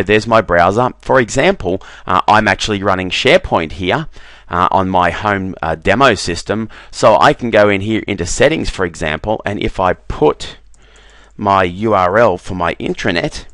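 Low, dry-voiced man demoing software. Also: no echo. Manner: relaxed, repeats "very, very" and fills with "uh". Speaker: software demonstrator. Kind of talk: screen-recorded software walkthrough. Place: voice-over on a screen recording.

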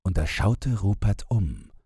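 A man reads a bedtime fairy tale as an audiobook narrator. His voice is very low and husky, and he takes his time while moving through lively rises and falls. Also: slow pace, dry recording, clean studio sound.

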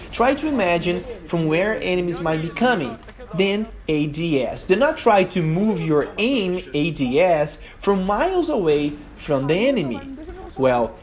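A man's voice: fairly high pitch, a little mellow. A young man from Brazil with a Brazilian Portuguese accent, speaking English as a second language as he narrates a gaming tutorial in an earnest, emphatic way. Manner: earnest, emphatic, non-native phrasing. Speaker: gaming youtuber. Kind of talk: gameplay tutorial voice-over.

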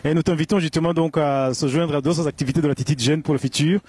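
Fast-talking husky male interviewer. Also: a little high, fairly even intonation, a little mellow. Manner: quizzing, brisk. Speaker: street interviewer. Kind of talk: street interview. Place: outdoors on a city square. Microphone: handheld mic.

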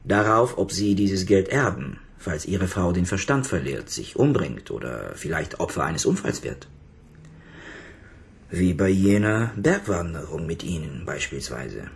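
A psychotherapist in a radio crime drama. His voice sits very low, and he speaks with calm authority. He questions in formal, measured sentences and does not let go. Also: vocal fry, exaggerated voice. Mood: insistent, formal. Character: calm, probing male psychotherapist, authoritative and persistent. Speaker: actor in a radio crime play. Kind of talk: German radio drama acting.

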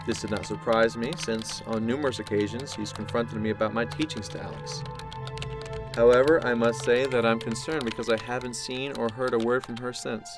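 An actor playing a grave, concerned male scientist in an audio drama, speaking in a measured, formal way. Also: mid-range voice, steady tone, over music, close to an everyday voice. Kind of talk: audio drama acting, opening with a dictated log.

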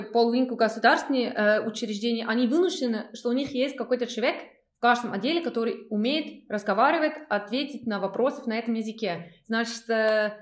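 A young woman with a fairly high voice asks her questions slowly, searching for words in a language that is not her first. She speaks over a video call, and the room around her sounds echoey. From Switzerland. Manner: hesitant, searching for words, many fillers. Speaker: interviewer.

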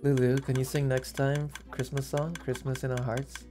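A woman streaming a video game, chatting casually with viewers in a soft, gentle voice, often humming and giggling to herself. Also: a bass voice, little pitch movement, normal speed. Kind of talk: casual gameplay livestream chat.

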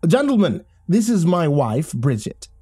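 A man voicing a suave, self-assured married gentleman in an audio story, with smooth and formal speech. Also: fairly high pitch, big pitch swings, measured pace, faint music behind, pitched above the performer's own voice, heavily acted. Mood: genial, assured. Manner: smooth, formal, politician-like confidence. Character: confident, suave, well-built married man, genteel research consultant.

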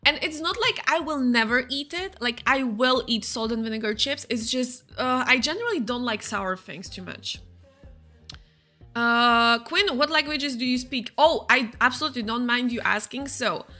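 A woman's voice: a little high, normal speed, sharp tone, soft background music. A young woman from Slovakia with a Slovak accent, chatting casually with her stream while she plays a game. She reads viewer messages aloud and answers them. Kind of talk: casual chat while gaming.

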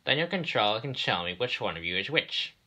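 A man voicing a stern, deliberate railway director in a storybook, patient but grim and used to command. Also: lowish voice, sing-song, pushed and bright, slightly rough, voice pushed up, full character voice, poor audio. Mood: stern, suspicious.

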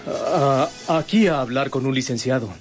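An actor playing a tender, remorseful young man in love in a radio drama, speaking earnestly and intimately in a husky voice. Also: mid-range voice, normal speed, music in the background, natural voice.

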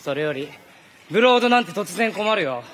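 A man's voice: high voice, lively intonation, fairly quick. An actor playing a cool, perceptive teenage boy volleyball player in a stage play, with a pointed, questioning delivery. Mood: exasperated. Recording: echoey theatre stage, loud music.

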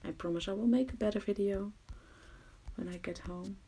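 A woman's voice: mid-range voice, normal speed, mellow, a little hiss or hum. A woman with a Dutch accent giving a casual, hesitant crafting tutorial, often dropping to a murmur. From Netherlands. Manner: hesitant, self-deprecating, drops to murmurs. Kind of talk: casual screen-recorded tutorial. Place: at someone else's home.

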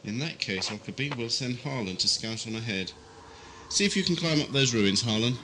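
An actor playing a stern, sarcastic military spaceship captain, curt and commanding, in a sci-fi audio drama. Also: male voice, medium pitch, lively intonation, normal speed, sharp tone, a little hiss or hum, low-quality recording. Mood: impatient. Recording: 1980s amateur audio-drama tape.

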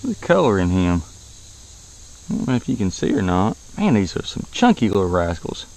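A man in his thirties with a Southern US accent, talking casually about fishing. He has a relaxed, folksy manner, chatting to the fish as he reels them in, and later recaps his day from inside a truck. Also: low voice, brisk, rough recording. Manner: relaxed, folksy, talks to fish while reeling. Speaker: fishing vlogger. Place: kayak on a river outdoors, then inside a pickup truck. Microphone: action camera mounted on kayak, then dashboard camera.

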